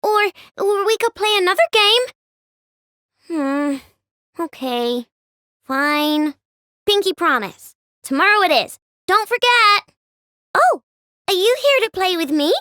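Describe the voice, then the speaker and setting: A woman's voice: extremely high pitch, expressive, slow pace, pauses often, bright, forceful tone, dry recording, clean studio sound, exaggerated voice. An actress voicing a cheerful, playful young girl inventor who loves sweets, in bouncy, childlike game voice lines. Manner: playful, wheedling, with gasps and giggles. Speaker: game character voice.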